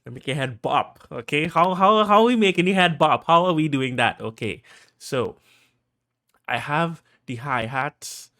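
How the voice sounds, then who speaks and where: Chatty Trinidadian man explaining beats. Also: mid-range voice. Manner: chatty, lots of "like" fillers, gets excited. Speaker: music producer. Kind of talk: casual production tutorial.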